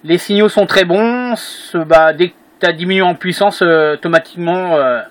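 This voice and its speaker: High-pitched hesitant Frenchman. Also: normal speed. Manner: hesitant, repeats himself. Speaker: amateur radio operator. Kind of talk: casual radio contact chat. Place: indoor room at home.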